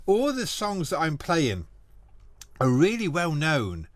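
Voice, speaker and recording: A man hosts a radio music show from a small studio, talking loosely and cheerfully between records. His voice sits a little high for a man and is picked up close and dry on the studio microphone. He rambles, corrects himself and laughs at his own remarks. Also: huge pitch swings, slow pace, no echo. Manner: chatty, rambling, self-deprecating, laughs often. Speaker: radio presenter. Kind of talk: casual radio chat between songs.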